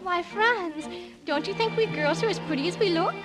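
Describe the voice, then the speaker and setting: A woman's voice: very high voice, normal speed, over music. An actress playing a playful, sweet and coy young laundry girl in a 1940s radio operetta. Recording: old 1940s radio broadcast recording.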